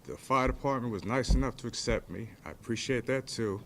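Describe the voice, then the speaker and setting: A man's voice: mid-range voice, fairly quick, creaky, noisy background. A man in his fifties, born in Jamaica, giving short, plainspoken, heartfelt remarks at a press event after receiving a pardon. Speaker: pardon recipient.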